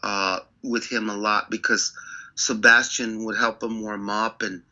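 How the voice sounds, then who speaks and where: A man with a low voice and a very bright, forceful edge, chatting as an interview guest. He talks in animated, insistent bursts. The sound is slightly dull and muffled. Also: unhurried. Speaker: record producer guest. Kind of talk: casual interview storytelling.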